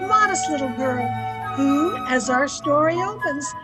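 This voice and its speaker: A woman's voice: high voice, lively intonation, measured pace, over loud music. A woman announcing and narrating an old-time radio drama from a script.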